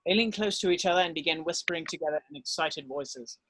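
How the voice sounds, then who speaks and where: A man's voice: a little high, fast, fairly bright tone, slight background noise, rough recording. A man narrating stage directions in an amateur screenplay table read.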